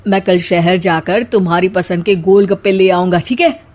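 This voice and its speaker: A woman voicing a patient young husband in a cartoon story, in a calm, soothing way. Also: lowish voice, moderate pace, pitched below the performer's own voice, natural voice. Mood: placating. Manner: calm, placating.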